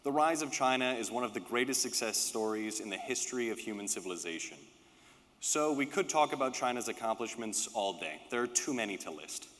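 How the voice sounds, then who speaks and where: A man giving a prepared political speech at a conference, with emphatic, rhetorical phrasing and deliberate repetition. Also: medium pitch, expressive, a bit bright, slight background noise. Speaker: political speaker, panelist. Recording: reverberant hall with long echo.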